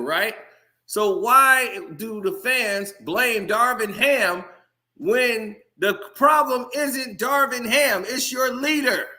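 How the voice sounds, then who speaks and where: A man's voice: very high voice, pushed and bright. A man hosting a casual sports commentary channel, ranting heatedly and talking straight to his viewers.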